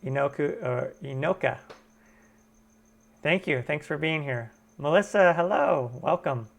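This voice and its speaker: A man's voice: on the high side, animated, a little slow, gravelly. A man hosting a casual live stream Q&A for his cooking channel, greeting viewers and answering chat comments, recorded from home on a computer webcam mic. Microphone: computer webcam microphone.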